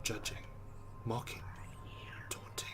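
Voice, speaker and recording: A man's voice: slow, in a whisper. A man hosting a let's play, narrating a visual novel aloud and adding his own asides.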